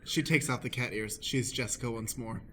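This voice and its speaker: A man's voice: mid-range voice, fairly quick, sharp tone, slight background noise. Matter-of-fact male stage-direction narrator. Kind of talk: reading out stage directions in improvised radio play.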